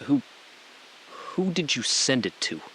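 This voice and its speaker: A man playing an uneasy, evasive ground controller in an audio drama, using halting, formal bureaucratic phrases. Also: mid-range voice, big pitch swings, a bit bright, a little hiss or hum, low-quality recording. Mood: alarmed. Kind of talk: scripted audio drama acting.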